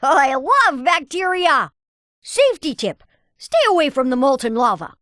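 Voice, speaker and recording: A high, animated boy genius for a cartoon video game. He is earnest and confident, with a precocious, scientific way of talking and pitch that swings freely. The sound is close and dry. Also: dry recording. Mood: wry, reassuring. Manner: precocious, wordy scientific phrasing. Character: brainy, upbeat boy inventor, earnest and confident.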